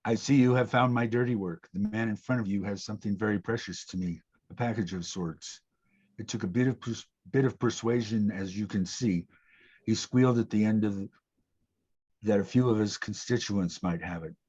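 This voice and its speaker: A man playing a cold, menacing villain in a table-read drama, speaking in a measured, threatening way with a husky voice. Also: fairly low pitch, darkish tone. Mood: cold menace. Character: menacing male villain giving a cold ultimatum. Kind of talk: scripted table-read acting. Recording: dull lo-fi audio.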